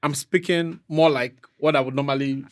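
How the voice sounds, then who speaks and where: A man from Nigeria with a Nigerian accent, a real estate professional chatting fast and laughing often as a podcast guest. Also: fairly high pitch. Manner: fast-talking, laughs often, animated storytelling. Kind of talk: casual podcast chat.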